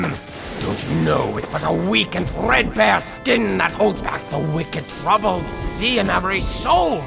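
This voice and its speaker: A performer playing a menacing, unhinged zealot cat in an audio drama, ranting in archaic fire-and-brimstone language with a gravelly voice. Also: mid-range voice.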